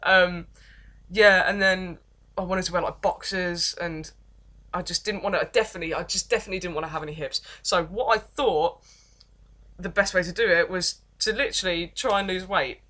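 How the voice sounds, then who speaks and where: Deep-voiced English woman rambling. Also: a little fast, pushed and bright. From England. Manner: rambling and self-deprecating, with many false starts. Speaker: vlogger. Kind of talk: casual confessional vlog, reading from notes.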